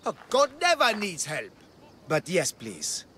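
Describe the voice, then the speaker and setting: Pompous self-styled god, swooping theatrical voice. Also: male voice, high-pitched, huge pitch swings, bright, forceful tone, slight background noise, exaggerated voice. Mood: earnest. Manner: theatrical, pompous, dramatic swells and pauses. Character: flamboyant self-proclaimed god, grandiose eccentric man with devoted followers. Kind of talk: video game cutscene acting.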